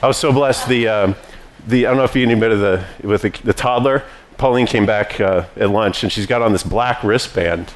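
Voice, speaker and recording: A man's voice: lowish voice, fairly quick. Man preaching a conversational sermon. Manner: conversational teaching with frequent restarts. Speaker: pastor.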